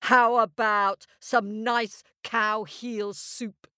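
A towering, two-headed male giant with a greedy, tricky streak, booming and bellowing as he coaxes, as a woman storyteller plays him. He talks slowly in a dry, close sound. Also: little pitch movement, bright, forceful tone, clear, resonant tone, no echo, heavily acted. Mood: booming, wheedling. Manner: roaring, bellowing.

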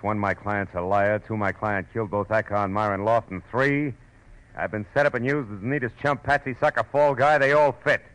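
A man's voice: fairly low pitch, a bit bright, close to an everyday voice. A man playing a weary, wry, hard-boiled private detective in 1940s American radio drama style. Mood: sardonic, insistent. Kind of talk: 1940s radio drama acting and first-person narration. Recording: muffled old radio recording, music behind.

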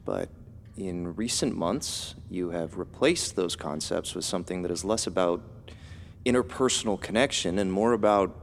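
An actor playing a critical male investor in an audio drama, with a measured, businesslike manner. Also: sits low, gravelly, slight background noise. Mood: hesitant, critical. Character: smooth, critical male investor on a company board. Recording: faint music behind.

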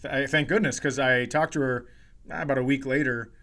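A man's voice: medium pitch, steady tone, brisk, pushed and bright. A man co-hosting a comedy podcast, telling an anecdote in a casual, offhand, matter-of-fact way.

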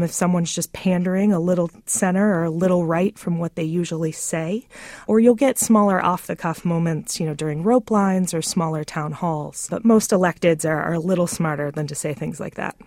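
A woman's voice: fairly low pitch, a little mellow, no echo, studio quality. A woman giving conversational answers as an interview guest, speaking as a political consultant.

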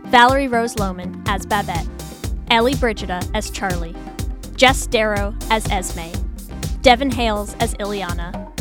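A woman reading scripted narration as a podcast announcer. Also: mid-range voice. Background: prominent music.

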